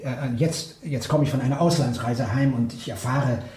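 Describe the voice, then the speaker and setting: A man playing a flustered, brooding character in a German radio play, halting and awkwardly polite in his speech. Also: mid-range voice, warm tone, echoey room, a little hiss or hum, close to an everyday voice.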